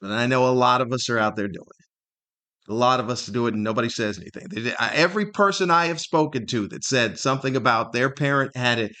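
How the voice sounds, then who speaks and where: A middle-aged man giving a candid, reflective solo podcast monologue in a conversational, thoughtful way, pausing to search for words and repeating himself. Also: mid-range voice, sharp tone. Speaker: podcast host, stand-up comedian.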